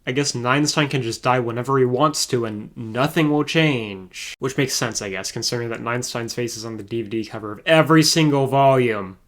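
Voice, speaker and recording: A man's voice: mid-range voice, a little fast, hardly any pauses, fairly bright tone. A man reading scripted commentary as a video reviewer, with a wry, sarcastic manner. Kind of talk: scripted commentary over show clips.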